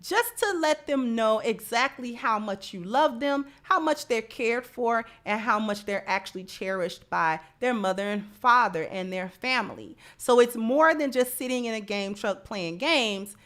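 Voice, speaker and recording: An American woman with a fairly high voice delivers live commentary as a show host. She speaks with emphasis, often repeating a phrase to drive it home. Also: animated, measured pace, hardly any pauses, a bit bright. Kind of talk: live commentary and sponsor read.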